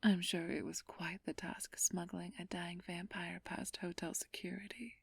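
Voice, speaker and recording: A woman playing a flirtatious, haughty ancient vampire thief in a whispered ASMR roleplay, teasing and condescending with a drawn-out purr. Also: lowish voice, little pitch movement, no room sound. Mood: haughty, amused. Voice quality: breathy whisper.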